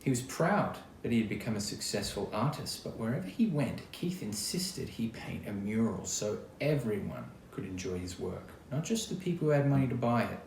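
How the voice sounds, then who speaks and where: Expressive man reading a storybook, lively pitch. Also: normal speed, creaky, hardly any pauses. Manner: expressive, acts out quoted lines, chatty asides. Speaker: storybook reader. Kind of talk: read-aloud of a children's picture book with asides. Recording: roomy echo, faint background noise.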